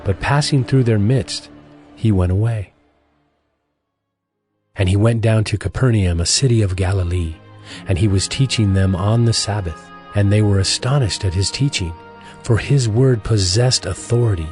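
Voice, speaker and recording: Low-pitched man narrating scripture. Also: a little mellow, faint music behind. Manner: measured, formal reading.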